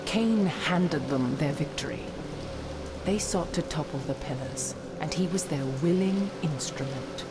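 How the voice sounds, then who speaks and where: A woman's voice: deep voice, expressive, unhurried, very noisy. An actress playing a bitter, imprisoned female ghost who speaks solemnly and pleads for mercy. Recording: loud music and rain behind.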